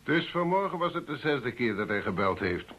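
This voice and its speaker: A man's voice: medium pitch, sing-song, moderate pace, a bit bright, a little hiss or hum. An actor playing a confident, condescending male police inspector in a radio drama. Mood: self-assured. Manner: assured, condescending. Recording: muffled old radio drama recording.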